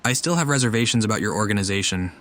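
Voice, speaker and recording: A man's voice: on the low side, very fast, fairly bright tone, a little hiss or hum, natural voice. A man voicing a reserved, wary young traveler in a video game, understated and thoughtful. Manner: understated, guarded. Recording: faint music behind the voice.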